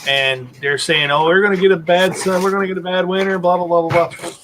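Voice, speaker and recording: A man's voice: sits high, fairly even intonation, fairly quick. A man, rambling through stories in a casual chat. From North Carolina. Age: 40s. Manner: rambling, storytelling with restarts. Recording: noisy background.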